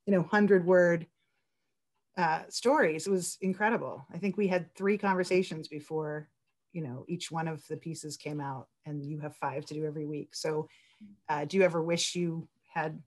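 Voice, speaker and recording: A woman's voice: deep voice, fairly even intonation, normal speed, a little mellow. A woman talking as a writer on a discussion panel, effusive and chatty, wandering into asides as she puts questions.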